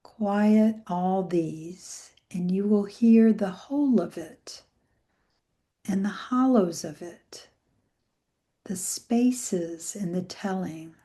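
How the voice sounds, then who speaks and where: A woman leading a guided meditation in a gentle, soothing way, with soft phrase endings that trail into breath. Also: medium pitch. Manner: gentle, soothing, unhurried with long pauses.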